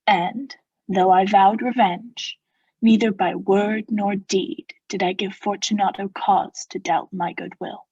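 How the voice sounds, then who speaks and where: A young woman playing a coldly vengeful noble who feigns friendship, in a measured, formal, insinuating theatrical radio-play style. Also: medium pitch, steady tone, a little mellow, husky, no echo, lo-fi sound. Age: young adult. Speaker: student actor.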